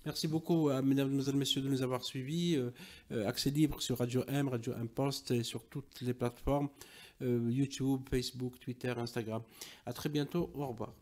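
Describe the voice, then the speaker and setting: A man hosting an interview in a semi-formal way, with halting, roundabout phrasing. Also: mid-range voice, fairly even intonation, a little mellow. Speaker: radio interview host.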